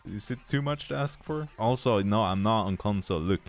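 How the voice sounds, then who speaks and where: A man's voice: lowish voice, a little fast, faint music behind. A young man with a Swedish accent giving goofy, casual commentary while opening loot boxes, tired and swearing freely. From Sweden. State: tired from no sleep. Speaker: gaming YouTuber.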